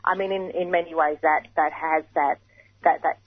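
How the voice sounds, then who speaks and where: Woman, radio guest on the phone, husky morning rasp, dry dull sound, thinks aloud. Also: sits low, steady tone, normal speed, no room sound. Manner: thoughtful, repeats words while thinking. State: gravelly morning voice. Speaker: guest writer. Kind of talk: radio interview.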